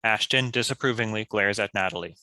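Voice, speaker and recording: A man's voice: lowish voice, brisk, sharp tone, no echo. A man reading stage directions as scripted narration for a table read.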